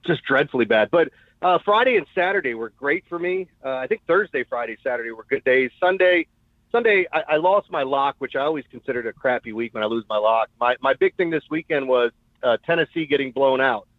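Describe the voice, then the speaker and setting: A man's voice: mid-range voice, animated, a little fast, no echo. A man chatting casually and bluntly as a sports betting guest, heard over a tinny phone-style connection. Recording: tinny phone-like call audio.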